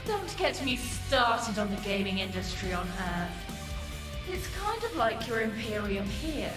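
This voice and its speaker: Game casting: seductive succubus demon, condescending and theatrical, roomy sound with music behind. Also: female voice, mid-range voice, very animated, takes it slowly, distant mic. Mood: sardonic, disdainful. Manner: sardonic, florid, condescending, swears freely. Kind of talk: video game character acting.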